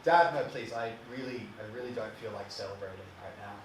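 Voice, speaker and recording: Male actor as bitter, wounded adult son: brooding dramatic monologue, halting and emotional, echoey, away from the mic. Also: fairly low pitch, close to an everyday voice. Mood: reluctant. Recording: distant mic, echoey room, faint hiss.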